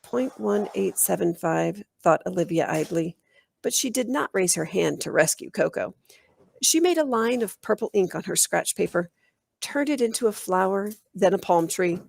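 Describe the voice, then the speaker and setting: A woman reading a children's book aloud in an animated, expressive storytelling style. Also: medium pitch, normal speed, vocal fry, dry recording. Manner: animated, acts out dialogue.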